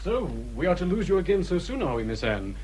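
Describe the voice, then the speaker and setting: An English actor playing a melancholy, bookish gentleman in a period radio drama, courteous and flowery in speech. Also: high voice, big pitch swings, fast talker, slight background noise. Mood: mournful.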